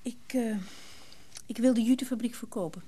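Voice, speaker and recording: A middle-aged woman playing a nervous, brusque widow in a naturalistic radio drama, halting and repeating her words. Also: a little high, dark tone, husky, no echo. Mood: flustered, brusque. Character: nervous, brusque widow, dreamy about her poor childhood. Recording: noisy old radio play recording.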